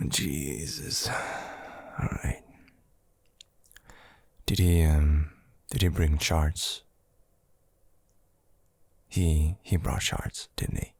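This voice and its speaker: A man playing an affectionate, teasing young boyfriend in an intimate ASMR roleplay, murmuring softly and hesitantly with a breathy, gravelly voice. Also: a bass voice, very slow, long pauses, no room sound, heavily acted. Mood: amused, curious. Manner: soft, hesitant, drawling, teasing.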